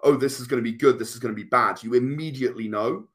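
British male guest, mid-pitched, conversational explainer, earnest, with hesitant restarts. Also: fast, fairly bright tone. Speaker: guest, tabletop RPG and comic creator.

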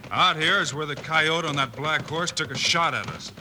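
Confident American western hero, fairly high male voice, commanding, noisy old-time radio sound. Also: normal speed, sharp tone. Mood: resolute. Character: bold, steady male western lawman hero. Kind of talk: 1950s radio western acting.

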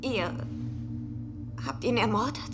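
An actress playing a tough, defiant, scornful bodyguard held captive in a dubbed TV drama. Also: female voice, fairly high pitch, takes it slowly, music in the background. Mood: angry, demanding. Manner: forceful, scornful. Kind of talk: German-dubbed TV drama acting.

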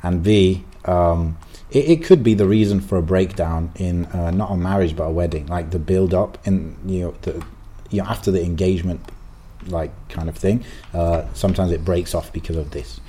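A man's voice: sits low, normal speed, warm tone, slight background noise. A man co-hosting a podcast, chatting loosely and giving strong opinions with plenty of fillers. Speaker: podcast co-host. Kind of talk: casual chat.

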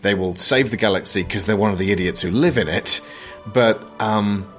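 Deep-voiced man, thoughtful, soft music behind. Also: moderate pace. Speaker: film podcast host. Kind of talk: reflective film discussion.

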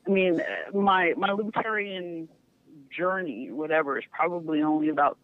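A middle-aged woman, a podcast guest, telling candid stories in a frank, lively way with easy laughter and a neutral accent. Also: lowish voice, moderate pace, dry recording. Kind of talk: candid storytelling. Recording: tinny phone-line sound, dry.

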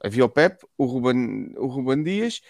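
A man's voice: mid-range voice, very animated, unhurried, creaky, darkish tone, no echo, clean studio sound. A man with a European Portuguese accent giving his take on a football match in a casual chat, rambling and restarting often. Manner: rambling, with many restarts and filler words. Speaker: football pundit.

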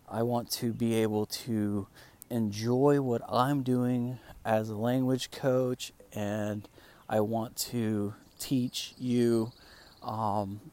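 A man whose first language is Spanish, coaching English learners in a calm, relaxed way with many fillers. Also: medium pitch. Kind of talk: relaxed teaching monologue.

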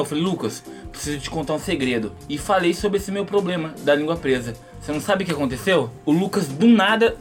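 A man, joking and rambling as a guest on a casual podcast. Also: a little high. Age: 30s.

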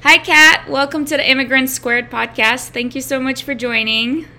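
A middle-aged woman, originally from a former Soviet republic, hosting a podcast with a clear, steady delivery. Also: high-pitched, normal speed. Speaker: podcast host. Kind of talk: scripted intro, then interview.

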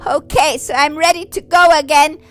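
A woman voicing an excited little boy who gushes and gasps with wonder, storybook style. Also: high voice, moderate pace, soft background music, close to an everyday voice. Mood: thrilled. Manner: breathless, gushing, gasping with wonder. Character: excited little boy turned Easter helper.

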